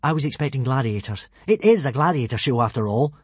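Man doing a wry, husky young Viking boy. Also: mid-range voice, lively intonation, quick pace, darkish tone, no echo. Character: young Viking boy hero, thoughtful, wry, level-headed. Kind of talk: audiobook character acting.

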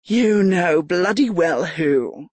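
Woman voicing a cold sorcerer duke from a storybook, slow and low, clipped threats, dry close sound. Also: lively intonation, a little mellow, breathy edge, no echo, heavily acted. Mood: menacing.

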